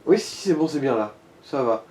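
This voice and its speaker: A man's voice: medium pitch, lively intonation, fairly quick, a little mellow, a little hiss or hum. Man guiding a gaming session, echoey room. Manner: informal, swearing, talking through directions. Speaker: co-host of a gaming let's play. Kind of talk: casual gaming commentary, guiding a co-player.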